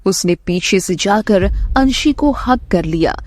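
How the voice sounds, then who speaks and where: A woman's voice: medium pitch, expressive, slow, a little mellow. A woman narrating a scripted romantic drama story as the storyteller.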